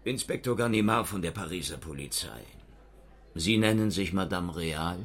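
An actor playing an obsessed, insistent Paris police inspector who questions people in a pointed, suspicious manner in a radio drama. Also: male voice, low voice, normal speed. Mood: confident, suspicious.

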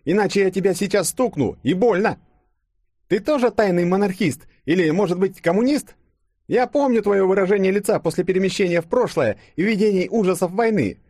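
A man's voice: high voice, brisk, a little mellow, no room sound, clean studio sound, voice pushed up. A man voicing a feisty, bossy young woman who speaks in a snappy, demanding way for an audiobook. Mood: angry, demanding.

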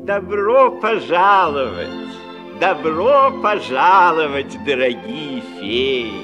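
A man's voice: a little high, very animated, slow pace, over music. An actor playing a gracious, good-natured and slightly fussy king, courtly and effusive, repeating himself, in a fairy-tale audio play. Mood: welcoming.

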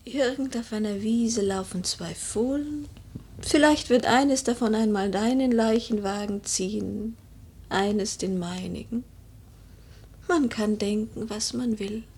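An actress in a classic German radio play voicing a proud, melancholy young woman, breathy and theatrical, wounded and reproachful. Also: mid-range voice, slow, darkish tone. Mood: melancholy, subdued. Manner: theatrical, intense, shifting between breathy murmur and reproach.

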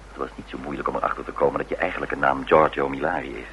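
Very deep-voiced, deadpan detective. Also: male voice, very fast. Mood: cool, accusing. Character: cool, terse male private detective, confronting suspects. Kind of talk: radio play acting. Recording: noisy old radio drama recording.

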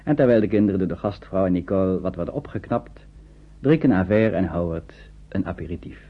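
A male actor gives scripted radio play narration as a matter-of-fact storyteller. His voice is very low. The old recording sounds thin and carries faint noise. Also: brisk, phone-quality sound, lightly acted.

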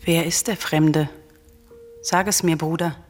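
A woman's voice: low voice, steady tone, measured pace, soft background music. An actress playing a fervent, vengeful daughter of a murdered king in a classical radio tragedy, solemn and declamatory. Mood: questioning.

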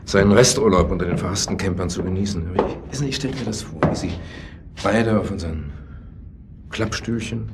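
An actor playing a bitter, agitated husband in a German radio play, confessing in a halting, brooding way and repeating his words. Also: low voice, very noisy.

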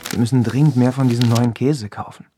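An actor playing an easygoing, contented husband in a naturalistic radio drama, talking casually and intimately. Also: male voice, mid-range voice, fairly even intonation, brisk, lightly acted. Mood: casual, keen. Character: easygoing, contented husband remembered by his wife. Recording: guitar music behind the voice.

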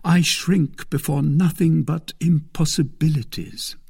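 A man's voice: mid-range voice, sing-song, slow, dark tone, breathy edge, no room sound, clean studio sound, pitched above the performer's own voice, exaggerated voice. A man playing a gallant, wily young swordsman in love, with fervent, courtly declamation in an audiobook of a classic novel. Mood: startled, musing.

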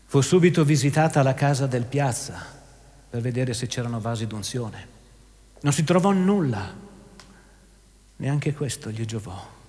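A man's voice: mid-range voice, animated, a little slow, pauses often. A man narrating and commenting in a scripted, theatrical radio-drama style. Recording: long hall reverb, loud music behind.

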